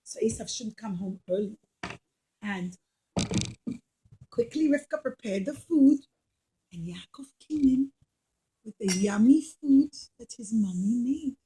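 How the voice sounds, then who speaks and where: A woman's voice: fairly high pitch, lively intonation, measured pace, pauses often, warm tone, slight background noise, poor audio. A woman telling a story to young children as a puppeteer, explaining and repeating, often checking understanding with little questions.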